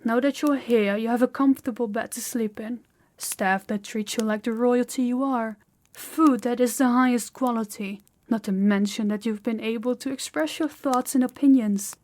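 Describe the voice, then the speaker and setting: A woman playing a haughty captor princess in an audio roleplay, speaking in a measured, formal, condescending way straight to the listener. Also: a little high, fairly even intonation, darkish tone, close to an everyday voice. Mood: smug, condescending. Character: haughty captor princess, commanding yet awkward and dutiful.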